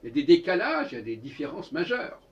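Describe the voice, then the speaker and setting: Higher-voiced Frenchman lecturing, very animated intonation. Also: lo-fi sound. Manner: halting, self-correcting, emphatic repetition of phrases. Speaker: speaker giving a philosophical talk. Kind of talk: improvised monologue, opinionated lecture. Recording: distant microphone, noisy room ambience.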